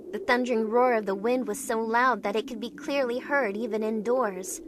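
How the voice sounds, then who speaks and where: A woman playing an anxious, curious young woman who narrates her own story in a measured, introspective way for a visual novel fan dub. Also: on the high side, background noise, lightly acted. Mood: relieved, reflective. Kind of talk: first-person scripted narration. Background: music.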